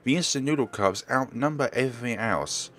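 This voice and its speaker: Man acting a motherly young female teacher, nagging fondly, lifted swooping pitch, visual novel dialogue, music behind. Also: animated, brisk, fairly bright tone, natural voice. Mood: nagging concern. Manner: scolding affectionately. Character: motherly, fussing young woman teacher, kind but nagging.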